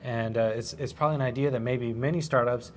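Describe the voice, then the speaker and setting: A man hosts a talk show from a TV studio, guiding his guest with friendly questions. His voice is of middle pitch, and a faint noise lies under it. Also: steady tone, quick pace. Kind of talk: studio interview.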